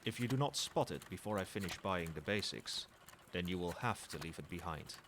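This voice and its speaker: Man voicing a low, lilting, haughty old dragon. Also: lively intonation, fairly quick, background noise. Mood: brisk, commanding. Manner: formal, lofty phrasing, teasing. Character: proud old dragon in human form, haughty yet fond. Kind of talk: ASMR roleplay acting.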